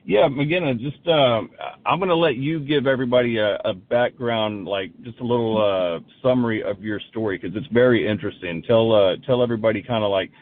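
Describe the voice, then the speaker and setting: A man co-hosting an interview, who introduces his guest and asks questions in a halting, hesitant way. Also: mid-range voice. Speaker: co-host. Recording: tinny phone-like line.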